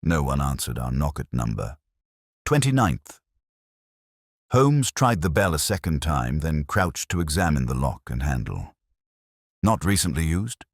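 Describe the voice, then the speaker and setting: A man reading a scripted first-person detective story as an audiobook narrator, in a measured, literary and dramatic manner. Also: rumbling low, big pitch swings, dry recording, clean studio sound.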